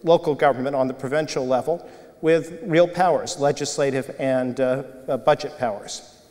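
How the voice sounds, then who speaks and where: A man in his sixties, a debate panelist, giving formal, measured answers with many hesitations, on a lapel mic at a desk on a televised stage. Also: medium pitch, fairly even intonation, a little mellow. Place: large televised debate hall with audience. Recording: long hall reverb.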